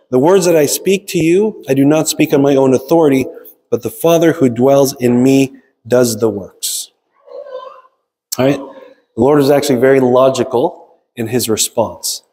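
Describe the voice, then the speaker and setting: A man's voice: medium pitch, warm tone. A man preaching a sermon in a conversational, explanatory way, often checking in with listeners with short tags. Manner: explanatory, frequent checking tags like "right?" and "okay?". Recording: long reverb of a large hall.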